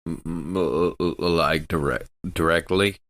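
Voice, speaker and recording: A man voicing a befuddled spaceship crewman in a tabletop game, stammering and halting over his questions. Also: low-pitched, steady tone, fairly bright tone, dry recording. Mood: halting, puzzled. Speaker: player in a tabletop game podcast.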